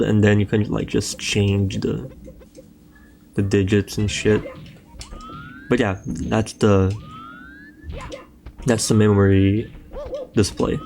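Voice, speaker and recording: A man's voice: on the low side, slight background noise. A young Brazilian man with a Brazilian Portuguese accent, a native Portuguese speaker, casually talking through a game speedrun tutorial and swearing now and then. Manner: relaxed, loose, swears casually, frequent fillers. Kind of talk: casual gameplay tutorial voice-over.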